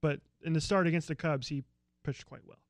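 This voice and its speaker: A man's voice: on the high side, steady tone, a little fast, creaky, no room sound, clean studio sound. An American man co-hosting a casual sports podcast, opinionated and rambling, grumbling dryly about teams he dislikes. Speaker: co-host.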